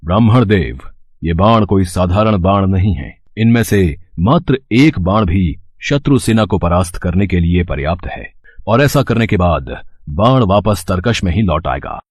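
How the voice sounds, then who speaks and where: A man's voice: sits low, animated, normal speed, no echo. A man playing a brave, reverent young warrior prince in a mythological story, speaking formally and earnestly. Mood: proud, confident. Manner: formal, declamatory Hindi, earnest. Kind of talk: scripted mythological storytelling drama.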